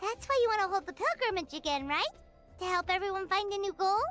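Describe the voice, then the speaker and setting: A woman's voice: very high voice, animated, quick pace, over music. A woman voicing a tiny floating female companion creature who chirps eagerly and talks about herself in the third person.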